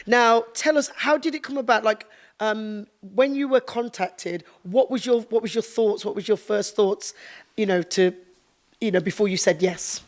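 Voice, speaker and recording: A woman with a medium-pitched voice hosts a relaxed podcast interview. She is effusive and keen, putting her questions in a chatty, informal way. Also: normal speed. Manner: enthusiastic, effusive.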